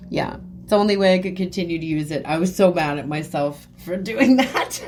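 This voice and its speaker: A woman hosting a podcast, talking in a relaxed, agreeable way in casual conversation. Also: on the low side, normal speed, slight background noise. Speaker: podcast host.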